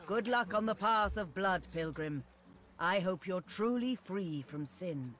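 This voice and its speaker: High-voiced pious cathedral guard. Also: male voice, lively intonation, unhurried, a bit bright. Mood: foreboding. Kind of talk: fantasy video game acting.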